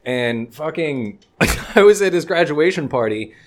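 A man's voice: on the high side, lively intonation, a little slow. Laid-back man with a light Texan accent. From Texas. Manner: laid-back storytelling, lots of swearing and laughing. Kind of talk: casual chat among friends.

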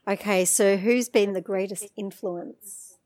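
Woman podcast host, warm mellow tone, musing and encouraging. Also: medium pitch, steady tone, a little slow. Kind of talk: casual interview chat.

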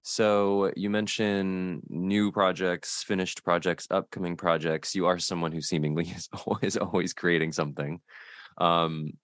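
A man's voice: sits low, little pitch movement, measured pace, fairly bright tone, no echo. A man hosting a casual interview, asking rambling, hesitant questions with light laughs. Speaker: podcast host.

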